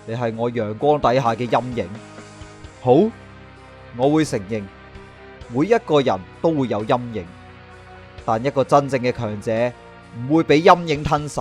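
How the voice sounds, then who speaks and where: A man's voice: medium pitch, a little mellow, lightly acted. Guy doing cocky obsessed young police boss, hong kong cantonese accent, radio drama, brooding and theatrical, music behind. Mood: cold menace. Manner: theatrical, brooding, swinging to outbursts. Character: proud, obsessive young police superintendent, menacing and unsettled. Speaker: voice actor.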